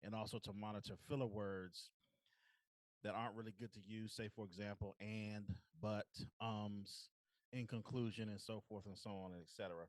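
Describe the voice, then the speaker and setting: A man at a club meeting reading out the word of the day and its definition, slow and measured. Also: fairly low pitch, fairly even intonation, no room sound. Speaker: meeting role-taker.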